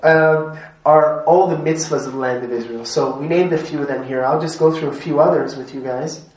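A man's voice: a little high, fairly even intonation, fairly quick, a little mellow. Chatty man teaching in an echoey room. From Montreal, Canada. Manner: chatty, asks the group questions, laughs often. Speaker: rabbi teaching a class. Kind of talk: informal classroom lesson. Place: classroom. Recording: echoey room, mic at a distance, faint noise.

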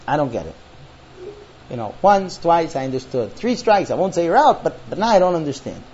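Exasperated husband, played by a male storyteller, incredulous and protesting, pitch swinging widely, slightly dull sound. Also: very animated, brisk, a little mellow, slightly rough. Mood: bewildered. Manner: incredulous questioning, protesting, climbing high in exasperation. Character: baffled, aggrieved husband woken from sleep.

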